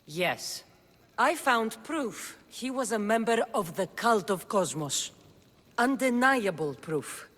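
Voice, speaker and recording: A woman's voice: fairly high pitch, fairly bright tone, heavily acted. An actress playing a resolute, guarded young Greek warrior woman in a video game, speaking in a firm, earnest way. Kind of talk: dramatic video game acting.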